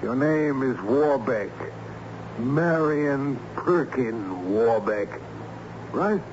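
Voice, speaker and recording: A man playing a hearty, menacingly polite crooked lawyer, questioning formally and briskly in an old-time radio drama. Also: medium pitch, animated. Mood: pressing. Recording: muffled, noisy old radio recording.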